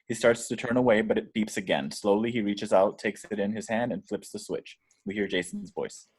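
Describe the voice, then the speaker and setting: Male narrator, lowish pitch, reading script stage directions. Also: brisk, husky. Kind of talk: scripted narration at a table read.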